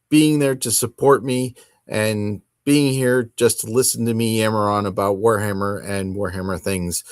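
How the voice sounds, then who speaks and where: A man hosting a hobby podcast, talking casually on his own in a rambling, halting and self-deprecating way. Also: mid-range voice, no echo, clean studio sound. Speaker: host of a tabletop wargaming podcast. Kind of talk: casual solo chat to camera.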